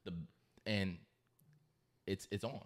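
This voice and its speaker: Young American male podcast host, low register, insistent, conversational debater. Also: steady tone, slow. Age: young adult. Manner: insistent, repeats his points. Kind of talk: casual debate among friends. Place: living room, on a couch. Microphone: podcast microphone on a stand, close.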